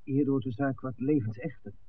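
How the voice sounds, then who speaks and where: Husky actor as a calm, smitten man. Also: mid-range voice, a little fast, no room sound, lightly acted. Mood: matter-of-fact. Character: Mark, male filmmaker, calm, romantically smitten outsider. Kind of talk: 1960s Dutch radio drama acting. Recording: dull vintage 1969 radio recording.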